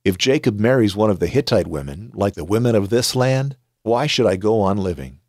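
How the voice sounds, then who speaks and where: A worried mother urges her son to run away, her words earnest and protective, as a man reading scripture gives her lines. The voice is low and the sound is dry and close. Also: expressive, a little fast, darkish tone, no room sound. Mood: urgent.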